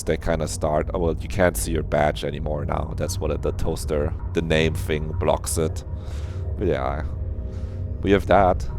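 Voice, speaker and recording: A man hosting a livestream, chatting casually and rambling easily to his viewers. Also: extremely low pitch. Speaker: livestreamer and host. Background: background game music.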